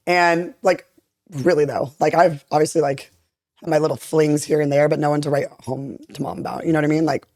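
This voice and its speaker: A young woman in her twenties with a deep voice, chatting candidly and rambling on a podcast, filling her talk with "like". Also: fairly even intonation, a little fast, darkish tone, dry recording, clean studio sound. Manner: candid, rambling, heavy use of "like". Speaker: interviewee. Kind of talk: casual podcast chat.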